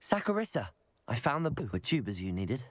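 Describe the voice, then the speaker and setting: A man's voice: mid-range voice, big pitch swings, a little fast, dry recording. A man voicing a courteous, helpful young student hero in a video game. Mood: polite, curious. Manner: courteous, considerate. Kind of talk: video game character acting. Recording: music under the voice.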